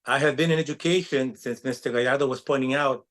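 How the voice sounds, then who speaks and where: A man's voice: mid-range voice, fairly even intonation, quick pace, a bit bright. A man in his sixties introducing himself as a candidate in a campaign speech. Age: 60s. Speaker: school board candidate.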